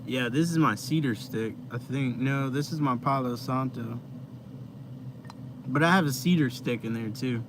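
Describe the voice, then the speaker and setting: A man's voice: medium pitch, moderate pace. A young man hosting a casual live stream from inside his van, chatting with viewers in a laid-back, rambling way with lots of fillers. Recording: noisy vehicle ambience.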